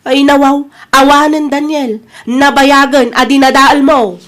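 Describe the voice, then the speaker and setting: A woman's voice: high-pitched, fairly even intonation, unhurried, fairly bright tone. A woman playing a defiant, fed-up young wife and mother in an audio drama, speaking firmly and reproachfully with an Ilocano accent. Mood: resolute.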